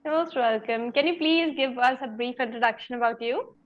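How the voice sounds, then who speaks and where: Woman moderator, slightly high voice, formal and polite, lo-fi call sound. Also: brisk. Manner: polite, formal, addresses guests as ma'am. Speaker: conference moderator. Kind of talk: moderating an online conference. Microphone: video call.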